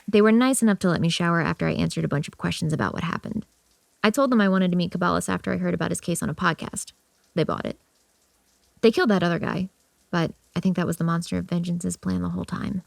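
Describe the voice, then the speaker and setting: A woman's voice: on the low side, fast, gravelly, dry recording. A woman playing a guarded, wry young woman who sees monsters in an audio drama, with an understated delivery. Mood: wry, confiding. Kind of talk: audio drama acting with first-person narration.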